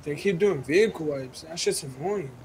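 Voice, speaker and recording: A man's voice: fairly high pitch, moderate pace, a little mellow, slight background noise, low-quality recording. A man talking casually over voice chat while playing an online game. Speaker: fellow online player.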